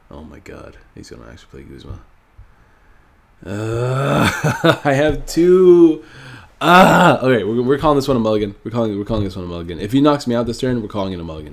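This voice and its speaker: A man's voice: mid-range voice, vocal fry. A man with a stuffy, nasal voice from a cold, casually thinking aloud while commenting on his own card game match. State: sick, stuffy nose. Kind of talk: live gameplay commentary.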